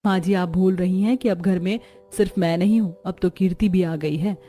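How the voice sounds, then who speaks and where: A woman's voice: on the low side, steady tone, moderate pace, warm tone, faint music behind, close to an everyday voice. A woman playing a capable, reassuring daughter-in-law. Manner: confident, reassuring. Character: pregnant elder daughter-in-law, capable and cheerful.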